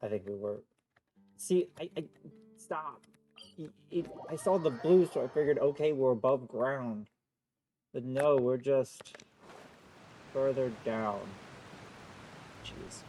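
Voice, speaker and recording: A man's voice: medium pitch, takes it slowly, long pauses, mellow, soft background music, rough recording. A young adult man giving casual live playthrough commentary as a streamer, reacting with muttered exclamations. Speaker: game streamer.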